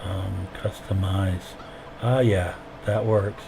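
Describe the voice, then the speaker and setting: A man's voice: sits low, steady tone, takes it slowly, vocal fry, noisy background. A man streaming a farming game while sick and losing his voice, explaining each step as he plays into a headset. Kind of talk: casual gameplay commentary.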